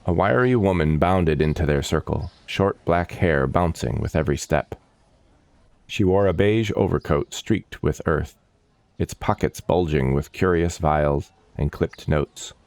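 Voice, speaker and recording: A man narrating a scripted dark fantasy story in a measured, solemn and dramatic manner. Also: rumbling low, vocal fry, no echo. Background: background music.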